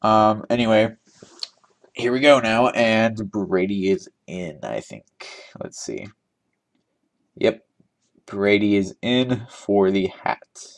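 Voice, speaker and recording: A man's voice: lowish voice, expressive, takes it slowly, creaky, pauses often. A young man hosting an online game trivia giveaway, chatting casually and thinking aloud with frequent laughs, recorded on a desk microphone. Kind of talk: casual gameplay commentary.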